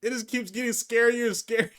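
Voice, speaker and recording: A young man hosting a reaction video, giving excitable, slangy commentary and repeating his phrases. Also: very high-pitched, a little fast, heavy background noise.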